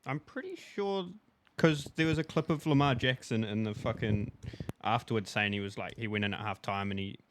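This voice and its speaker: A man co-hosting a sports banter podcast, sweary and quick to argue back. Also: fairly low pitch, slight background noise. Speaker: podcast co-host.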